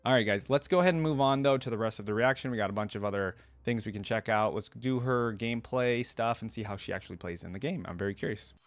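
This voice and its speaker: A man hosting a reaction video, reading and commenting casually, often pausing to speculate aloud. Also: medium pitch, dry recording.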